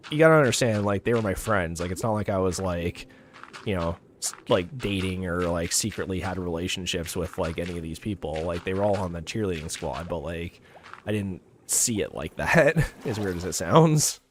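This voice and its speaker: Low-voiced, slightly raspy man rambling. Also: normal speed, slight background noise. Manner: rambling, heavy use of "like" fillers. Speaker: streamer. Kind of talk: casual chat over gameplay.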